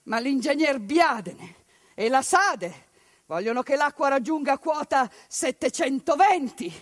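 A woman's voice: high voice, expressive, a little slow, dry recording, clean studio sound. A woman performing a theatrical monologue, with impassioned, ironic storytelling and sudden outbursts. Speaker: stage actress and storyteller.

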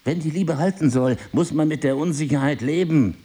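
A wounded, devoted husband in middle age, speaks with a slightly husky voice. He reasons deliberately and articulately, like someone lecturing his wife, with an ironic edge. It is intimate dramatic dialogue from a stage play. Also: a little high, dark tone. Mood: impassioned, lecturing. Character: reproachful yet devoted husband, wounded, philosophizing crime writer.

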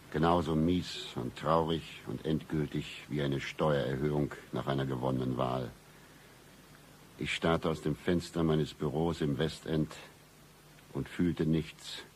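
An actor playing a world-weary, sardonic private detective who narrates a noir parody radio play in a brooding deadpan. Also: male voice, extremely low pitch, a little hiss or hum. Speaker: narrator and lead character.

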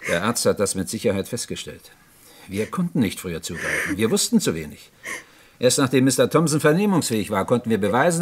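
An actor playing a composed, authoritative police inspector in a radio crime drama, matter-of-fact and commanding. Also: male voice, lowish voice, husky. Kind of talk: 1950s-80s German radio crime drama acting.

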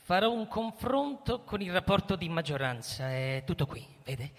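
A man's voice: high voice, sing-song, moderate pace. A male actor playing a pompous, scheming army general in a radio drama, formal and emphatic like an orator. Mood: smugly formal. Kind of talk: theatrical radio play acting. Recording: long hall reverb, stage performance.

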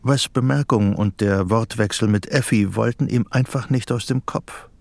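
An actor narrating a psychological thriller radio drama in sober, scripted literary prose. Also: male voice, fairly low pitch, moderate pace, gravelly, dry recording. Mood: sober, measured.

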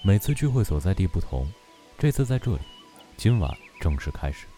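Deep, mellow-voiced, gentle mountain god played by a man. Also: big pitch swings, faint music behind. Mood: calm, courteous. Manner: measured, courteous, softly indulgent. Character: calm, gentle, patient male mountain god. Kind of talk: Chinese audio drama acting.

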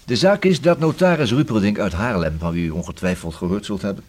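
An actor playing a polite, persistent police inspector in a radio play, speaking formal, measured Netherlands Dutch. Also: male voice, on the low side, a little mellow, natural voice. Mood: polite, probing. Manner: formal, courteous, measured and insistent.

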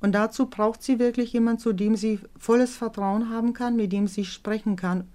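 A middle-aged woman speaking candidly and reflectively as a mother in a documentary interview, somewhat halting and often adding tag questions to seek agreement. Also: fairly high pitch, fairly even intonation, warm tone. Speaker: interviewee, mother of a teenage daughter.

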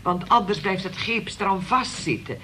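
A chatty, opinionated mother, animated delivery. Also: medium pitch, normal speed, slightly rough. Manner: rambling, opinionated, exclamatory. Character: football-mad, chatty, moralizing mother of a grown son. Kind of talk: radio play acting. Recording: noisy old radio play recording.

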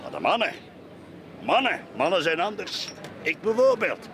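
A man's voice: high voice, huge pitch swings, moderate pace, a bit bright, heavily acted. An actor with a Flemish accent playing a bitter, boastful, drunken middle-aged man in a radio play. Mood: grumbling, boozy. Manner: rambling, repetitive, cackling, tipsy. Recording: radio play with clear outdoor background noise.

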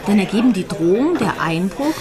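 An actress playing a shaken, earnest widow puzzling out a mystery in a German radio crime drama. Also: female voice, mid-range voice, very animated, brisk, over a noisy crowd, close to an everyday voice. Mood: earnest, pondering.

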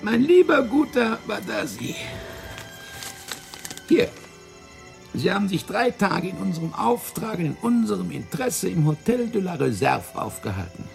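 An actor in a German radio play playing a fat, wily, self-righteous French intelligence officer, explaining things in a theatrical, condescending way. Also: male voice, high voice, sing-song, moderate pace, creaky, faint music behind, full character voice. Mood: patronising. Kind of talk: German radio drama acting.

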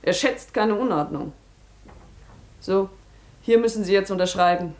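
Strict, brisk institution woman, played by an actress. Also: mid-range voice, darkish tone, close to an everyday voice. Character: strict, brisk female sanatorium staff member. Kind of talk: German radio play acting.

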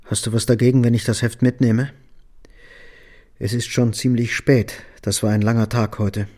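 An actor playing a calm, probing and placating man in a German radio drama, with natural dialogue. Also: medium pitch, moderate pace, close to an everyday voice. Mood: curious.

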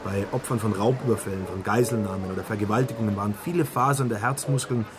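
An actor playing an earnest investigator in a radio crime drama, setting out facts precisely, with people murmuring behind him. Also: male voice, fairly low pitch, fast, over music, close to an everyday voice. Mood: matter-of-fact. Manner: precise, recites facts in detail. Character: earnest male investigator briefing a superior on a death.

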